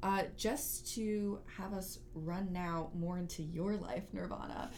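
A woman's voice: fairly low pitch, fairly even intonation, takes it slowly, a little mellow, a little hiss or hum. A young adult woman hosting a student interview podcast, asking questions in a friendly, joking way. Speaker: podcast host. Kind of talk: casual interview.

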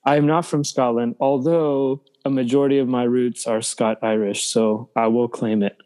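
A soccer coach and club chaplain introduces himself on a podcast in an upbeat, direct way. He speaks at a middle pitch. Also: steady tone, moderate pace, warm tone. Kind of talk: casual podcast chat.

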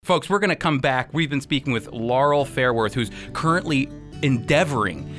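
A man's voice: medium pitch, expressive, moderate pace, fairly bright tone, soft background music. A man hosting a radio interview in a conversational style, guiding the guest and addressing listeners.

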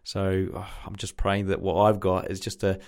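A New man hosting a podcast, chatty and rambling with many fillers, his voice a little croaky from a sore throat. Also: deep voice, monotone, moderate pace, darkish tone. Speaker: podcast host. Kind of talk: casual podcast intro.

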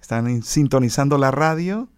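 A man's voice: medium pitch, expressive, normal speed, a little mellow, clean tone, dry recording, clean studio sound. A man hosting a radio program, welcoming listeners and a guest in a friendly, rambling way with some fillers. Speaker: radio host. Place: radio studio.

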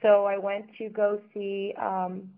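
A woman's voice: medium pitch, level tone, takes it slowly. Woman guest in her forties, from Miami, chatty with lots of ums, tinny phone-line sound. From Miami, Florida. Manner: chatty, warm, frequent ums. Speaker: interview guest. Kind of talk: podcast interview.

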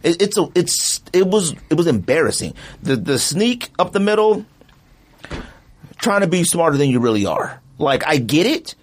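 A man's voice: a little high, normal speed. A man co-hosting a podcast, ranting in a loose, slangy, rambling way about a football team's season. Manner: animated, rambling, slangy rant. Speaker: podcast co-host. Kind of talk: casual sports chat.

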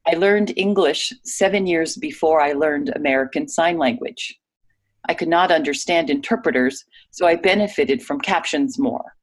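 A woman reading a guest's typed interview answers aloud in a clear, even manner. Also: low-pitched, normal speed.

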